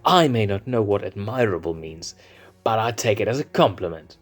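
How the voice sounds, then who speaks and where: A man playing a proud, hot-headed lion cub prince with a low voice and a defiant edge. He snaps back quickly and loves to boast. Soft music plays behind this storybook reading. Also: animated. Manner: defiant, temperamental.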